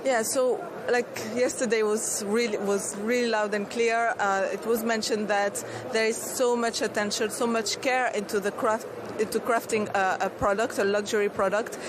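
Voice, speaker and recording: A woman's voice: fairly high pitch, steady tone, normal speed. A woman in her thirties from Tunisia, a startup founder answering interview questions earnestly, with many restarts and fillers. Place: outdoor expo stand. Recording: clear street noise behind.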